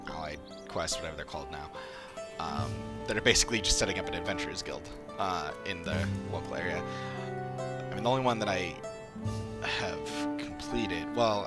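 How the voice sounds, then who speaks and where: A man's voice: low-pitched, normal speed. A man giving casual live game commentary as a streamer, rambling and thinking aloud between bits of text he reads out. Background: loud game music.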